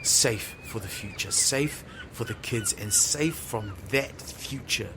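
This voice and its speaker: A man's voice: medium pitch, takes it slowly, background noise, lightly acted. A man playing a time-travelling fisherman who tells his story in the first person, theatrical and anguished, in an audio drama. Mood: subdued, resolute. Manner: theatrical, swings from breathy murmur to anguished shouting.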